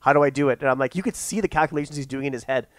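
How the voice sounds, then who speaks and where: A man's voice: mid-range voice, racing, no room sound. A young man chatting casually on a podcast, often stammering and restarting and agreeing with "yeah".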